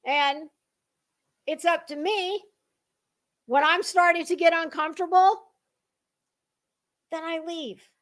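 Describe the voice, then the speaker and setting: High-pitched woman, halting and emphatic. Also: fairly even intonation, a bit bright. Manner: halting, emphatic, with deliberate pauses and repeated words. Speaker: online drama commentator and livestream host. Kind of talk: casual dramatic monologue about online community gossip.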